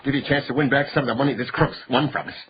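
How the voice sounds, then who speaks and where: An actor playing an irritable, aggrieved losing gambler, curt and easily flustered, in a radio drama. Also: male voice, mid-range voice, fairly even intonation, racing, close to an everyday voice. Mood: tense. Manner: curt, testy. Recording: dull sound with clear background noise.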